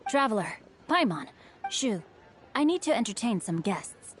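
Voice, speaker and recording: A woman's voice: fairly high pitch, big pitch swings, measured pace, breathy edge, exaggerated voice. A woman voicing a composed, refined teenage merchant heir, a boy who speaks formally and courteously in a video game. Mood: composed, businesslike. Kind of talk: English video game voice acting.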